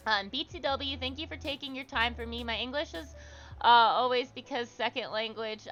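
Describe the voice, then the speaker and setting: A woman's voice: on the high side, sharp tone. A woman hosting a livestream, chatting casually and encouragingly with viewers and reading their comments aloud. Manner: encouraging, supportive. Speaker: livestream host.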